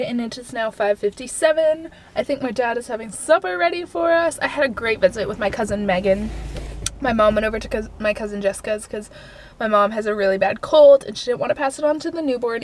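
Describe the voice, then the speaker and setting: A young woman with a stuffy nose from a cold, chatting casually to a handheld camera at arm's length. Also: a little high. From Canada. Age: young adult. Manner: chatty, rambling, quiet at night. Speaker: vlogger. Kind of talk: casual daily vlog. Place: bedroom, car and living room at home.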